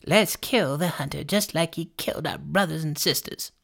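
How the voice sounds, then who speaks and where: A man's voice: fairly high pitch, normal speed, gravelly, a bit bright, voice pushed up. A man voicing an angry, bitter mother duck in a storybook tale, indignant and accusing. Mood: vengeful.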